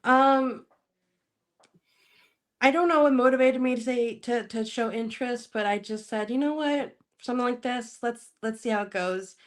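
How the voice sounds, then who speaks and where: Laughing woman guest, halting speech. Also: a little high. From Spokane, Washington. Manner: laughs often, halting and searching for words. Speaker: podcast guest. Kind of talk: casual interview chat.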